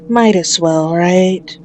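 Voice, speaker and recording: A woman playing a sadistic, possessive female mafia boss in an ASMR roleplay, mocking and menacing, with drawn-out taunting speech. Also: a little mellow, a little hiss or hum. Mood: coaxing, possessive. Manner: slow, taunting, drawn-out with frequent low chuckles.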